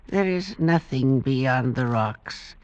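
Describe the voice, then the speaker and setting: An actor playing a scornful old male village elder with a creaky voice, condescending, in a vintage radio drama. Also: mid-range voice, lively intonation, darkish tone, no room sound. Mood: scornful, commanding. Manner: slow, pronouncing, condescending. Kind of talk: 1940s-50s radio drama acting. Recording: dull vintage radio broadcast recording.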